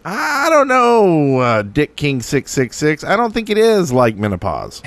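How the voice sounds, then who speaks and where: A man's voice: fairly high pitch, wildly swooping pitch, unhurried, background noise. A man co-hosting a podcast, chatting in a rambling, matter-of-fact way. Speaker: podcast co-host. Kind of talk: casual podcast chat.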